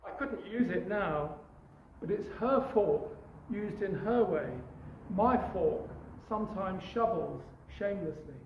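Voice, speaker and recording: Older man, posh English accent, high voice, echoey outdoor recording, faint background noise. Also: expressive, slow, darkish tone. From East Africa. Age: 60s. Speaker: poet. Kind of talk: poetry reading. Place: outdoors outside a cafe.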